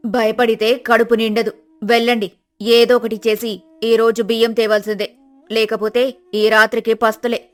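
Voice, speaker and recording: Woman doing a naggy village wife, high n loud, always complaining, storybook style. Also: little pitch movement, moderate pace, lightly acted. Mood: nagging, exasperated. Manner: scolding, complaining. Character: shrewish, nagging poor village wife.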